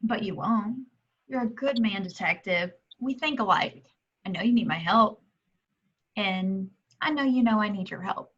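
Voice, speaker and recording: A woman's voice: medium pitch, a little slow, slightly rough, muffled recording. A woman reading a pushy, brazen, persuasive female character in an amateur table read of a crime film script. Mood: coaxing, confident.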